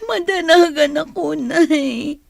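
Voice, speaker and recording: A woman playing a nosy, fretful sweetheart in an Ilocano comedy radio drama, expressive, with an Ilocano accent. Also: high-pitched, slow, gravelly, no echo, exaggerated voice. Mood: anxious, tearful. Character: worried, nosy woman, schemer's debt-ridden sweetheart.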